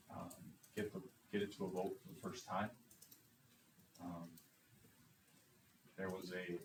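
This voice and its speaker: A man answering interview questions for a school superintendent job, speaking in a confident, measured way. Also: low voice. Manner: confident, measured, self-assured. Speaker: superintendent candidate. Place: meeting room. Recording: echoey room, distant mic, faint noise.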